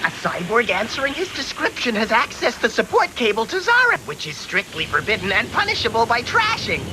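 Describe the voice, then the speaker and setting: A woman's voice: a little high, very animated, brisk. A woman voicing a coolly strict, matter-of-fact official in a dubbed anime. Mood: coldly matter-of-fact. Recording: noisy old VHS tape recording.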